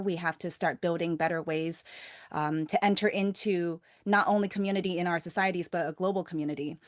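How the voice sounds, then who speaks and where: A woman's voice: mid-range voice, no room sound, clean studio sound. A woman hosting a casual conversation, speaking in an earnest and reflective way. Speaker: host.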